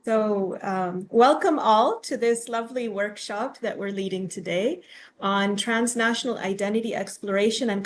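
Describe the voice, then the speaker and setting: A woman's voice: fairly high pitch, a little hiss or hum. A woman from Tanzania leading an online workshop, talking through the plan in a friendly, organized way with frequent "um" and "okay". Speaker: workshop facilitator, university instructor. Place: home or office, on a video call. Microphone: computer mic on a video call.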